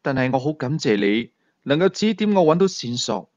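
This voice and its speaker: A man's voice: mid-range voice, big pitch swings, moderate pace, a little mellow, lo-fi sound. A man with a Hong Kong Cantonese accent narrating a thriller story dramatically as an audiobook storyteller.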